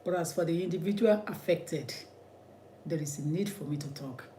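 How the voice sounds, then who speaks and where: A man's voice: on the high side, normal speed, mellow, slight background noise. A man with a Nigerian accent, an immigration lawyer giving impassioned commentary in an emphatic, repetitive, preacherly way.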